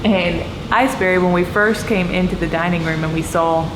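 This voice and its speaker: A woman's voice: lowish voice, fairly even intonation, normal speed. A woman in a hotel dining area chatting upbeat about breakfast for a travel vlog. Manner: chatty and upbeat, describing food. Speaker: vlogger. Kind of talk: casual vlog narration. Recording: echoey room with background noise.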